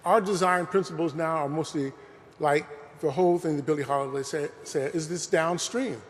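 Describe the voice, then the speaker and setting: A man's voice: a little high, moderate pace. A man giving an earnest, conversational public talk, often saying "you know" as he speaks. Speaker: lecturer presenting a talk. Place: large museum hall. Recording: long hall reverb.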